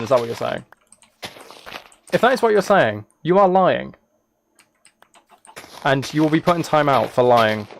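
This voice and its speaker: A man chatting casually on a gaming livestream, rambling haltingly with playful, mock-serious dry humour. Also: mid-range voice, creaky, slight background noise. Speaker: live streamer.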